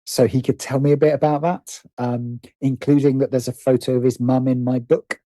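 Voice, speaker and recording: A man's voice: medium pitch, little pitch movement, normal speed, dark tone, dry recording. A British man speaking as a podcast guest in casual conversation, hesitant and thoughtful as he circles around his points. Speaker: podcast guest, writer and producer.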